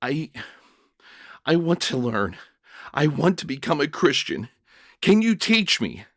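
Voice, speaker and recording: A man's voice: a little high, sing-song, slow, no room sound, clean studio sound, pitched above the performer's own voice, full character voice. A man playing a bewildered, earnest jailer who tells his story in the first person with dramatic, stammering emotion. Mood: pleading, overwhelmed. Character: young-hearted jailer narrator, bewildered, earnest, emotional father.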